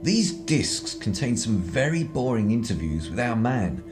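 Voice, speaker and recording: A man plays a cocky schemer from a radio drama, arch and theatrical. His pitch swoops widely through wordy, old-fashioned banter, with music underneath. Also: big pitch swings, a little slow. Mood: sly scheming. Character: cocky, scheming young-at-heart spy, calculating and theatrical.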